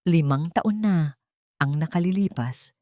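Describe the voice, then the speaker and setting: A woman's voice: low-pitched, a little slow, mellow, no room sound, studio quality. A woman storyteller voicing a spiteful elderly mother-in-law in an audio drama, sneering and accusatory. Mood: sneering mockery.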